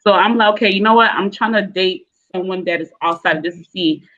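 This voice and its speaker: Nigerian-American woman, bright lo-fi voice. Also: mid-range voice, fairly quick. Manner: rambling, many fillers and restarts. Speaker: panel guest. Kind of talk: casual discussion. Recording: dull lo-fi sound.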